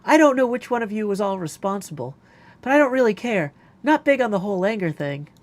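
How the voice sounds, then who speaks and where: A man's voice: high voice, very animated, brisk, no echo. A man voicing a laid-back, cheeky young female ghost in a video game, with a casual, flippant delivery. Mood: nonchalant. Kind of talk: video game character acting.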